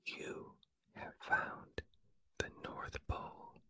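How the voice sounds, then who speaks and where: A reader whispering the lines of a kind, excited young boy in a storybook. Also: very slow, dry recording. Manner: warm, enthusiastic.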